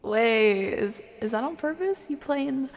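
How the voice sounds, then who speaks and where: A woman livestreamer casually singing along and making soft, playful chatty asides, sometimes in a whisper. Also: on the high side, lively intonation, a little slow, vocal fry. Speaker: livestreaming ASMR creator. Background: music playing from a TV.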